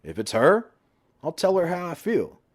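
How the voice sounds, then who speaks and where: A man's voice: fairly high pitch, huge pitch swings, moderate pace, pitched above the performer's own voice. A man voicing a brash middle-aged best friend who lectures and needles bluntly in an audiobook. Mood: blunt, protective. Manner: blunt, emphatic, sweary banter.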